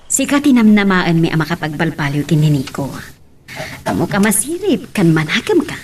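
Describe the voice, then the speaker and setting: A woman acts a middle-aged mother-in-law with very animated intonation and an Ilocano Filipino accent. She is motherly and hopeful in radio drama style, with slight noise behind. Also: fairly low pitch, normal speed.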